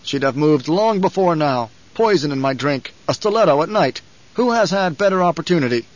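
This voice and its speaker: A man's voice: a little high, lively intonation, fairly quick, no room sound, muffled recording, voice pushed up, natural voice. A man voicing a proud, stern and weary nobleman in an audiobook, with an authoritative, clipped delivery. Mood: insistent.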